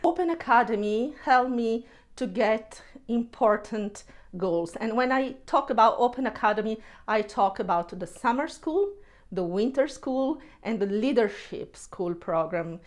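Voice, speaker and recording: A woman's voice: fairly high pitch, animated, unhurried. A woman from Italy, giving an earnest testimonial and searching for words in places.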